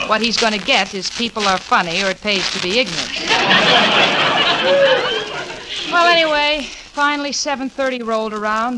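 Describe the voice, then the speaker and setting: A woman's voice: mid-range voice, close to an everyday voice. An actress in her twenties playing a level-headed but anxious, exasperated roommate in a 1940s radio comedy, with a wry, flustered delivery. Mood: wry narration. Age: 20s. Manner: wry, flustered, stammering under stress. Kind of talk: 1940s radio sitcom acting and narration. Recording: hissy, crackly 1940s radio recording.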